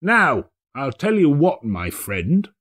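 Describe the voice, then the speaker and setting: A man's voice: fairly high pitch, wildly swooping pitch, slow pace, a bit bright, slightly rough, voice pushed up, exaggerated voice. A man voicing an old reformed miser in a storybook, hearty and emphatic, with playful sternness. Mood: mock stern. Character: old reformed miser, hearty, jubilant, mock-gruff employer. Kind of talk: dramatic storybook character reading.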